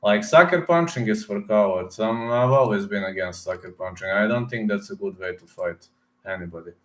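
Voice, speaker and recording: A fairly low-voiced man with a Serbian accent hosts a relaxed live stream at his desk mic. He answers viewers in English as he goes, and he says things over several times with lots of "uh"s. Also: moderate pace. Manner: talks straight to chat, repeats words, many fillers. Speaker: livestream host reading chat. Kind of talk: casual live-stream chat about fight picks.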